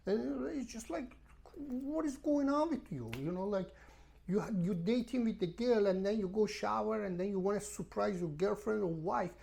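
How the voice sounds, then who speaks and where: A woman's voice: medium pitch, normal speed, creaky, mellow. A woman who is a non-native English speaker, ranting casually to camera as a travel vlogger in an emphatic, repetitive, exasperated way. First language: not English. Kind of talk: casual rambling rant to camera.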